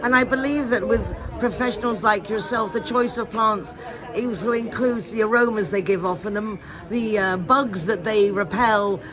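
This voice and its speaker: A woman's voice: medium pitch, normal speed. A woman hosting a local lifestyle programme, interviewing guests in an effusive, complimentary manner. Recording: busy crowd behind the voice. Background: event crowd chatter.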